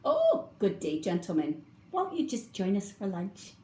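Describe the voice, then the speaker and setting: A woman voicing a gentle, kindly storybook man who adores animals, in drawn-out, welcoming phrases. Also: medium pitch, wildly swooping pitch. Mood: cheerful. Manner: sighing, drawn-out, warmly welcoming. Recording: roomy echo, faint background noise.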